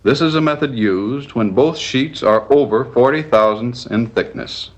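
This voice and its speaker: A man's voice: mid-range voice, measured pace, dull audio. A man reads scripted instructional narration in a formal, methodical, matter-of-fact way, as in a 1940s training film. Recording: 1940s archival film soundtrack.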